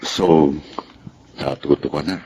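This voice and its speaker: A man's voice: rumbling low, steady tone, slow pace, dark tone, a little hiss or hum. An actor playing a firm but caring middle-aged father in a Filipino radio drama, with a Filipino accent. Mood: gentle, proud.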